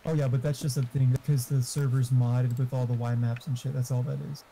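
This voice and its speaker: Male gamer, mid-pitched and very mellow, casual opinionated voice-chat talk, muffled with slight background noise and typing. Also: flat delivery, fairly quick. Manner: informal, swearing, opinionated. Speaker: gamer giving computer hardware advice.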